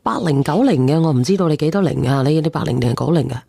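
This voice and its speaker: Low, mellow-voiced woman host. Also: animated, fast, creaky, no room sound, clean studio sound. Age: 40s. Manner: addresses listeners with questions. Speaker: call-in show host. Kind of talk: casual livestream advice chat.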